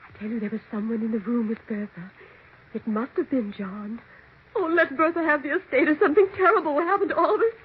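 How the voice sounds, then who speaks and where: An actress playing a frightened, pleading houseguest in a 1940s radio mystery, agitated, halting and insistent. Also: female voice, sits high, steady tone, lightly acted. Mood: desperate pleading. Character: frightened, pleading woman houseguest, easily hysterical. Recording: tinny, faintly noisy 1940s radio recording.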